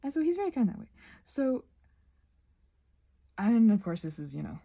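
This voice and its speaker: A woman rambling casually to her viewers while crafting, hesitant and self-deprecating, trailing off and often slipping into a breathy near-whisper. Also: fairly high pitch, very animated, normal speed, dark tone. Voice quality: breathy, husky with creak.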